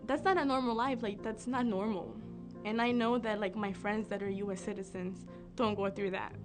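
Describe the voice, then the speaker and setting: A woman's voice: mid-range voice, steady tone, normal speed. A woman in her twenties from Mexico, giving an earnest, heartfelt testimony to camera in a documentary interview. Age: 20s. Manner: earnest and heartfelt, with small restarts. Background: soft music.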